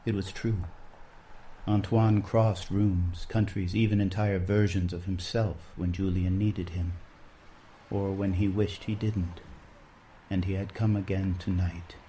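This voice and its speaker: A man narrating a scripted literary story in a measured, solemn manner. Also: deep voice, flat delivery, warm tone, a little hiss or hum, low-quality recording.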